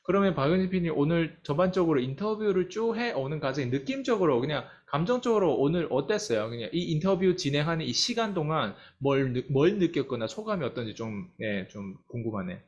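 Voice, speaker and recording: Male interviewer, a bit high-pitched, meandering friendly questions, many fillers, muffled recording. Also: big pitch swings, brisk, hardly any pauses. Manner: hesitant, long winding questions, frequent "mm" backchannels. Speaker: interviewer, coaching company head. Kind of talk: casual interview hosting.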